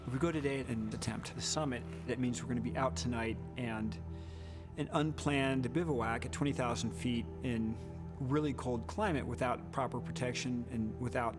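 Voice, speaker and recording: A man's voice: medium pitch, normal speed. A man speaking as a mountain climber in a documentary film clip. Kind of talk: documentary testimony. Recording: film clip with music behind. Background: clear music.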